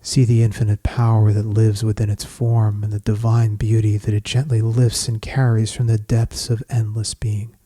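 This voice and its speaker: A man leading a guided meditation in scripted poetic narration, speaking in a measured, soothing, solemn manner with long pauses. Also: on the low side, flat delivery, warm tone, dry recording, clean studio sound.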